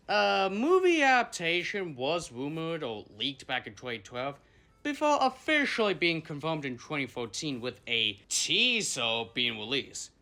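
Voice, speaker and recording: A man's voice: a little high, pushed and bright. A man giving a casual explainer as a guest commentator on a video.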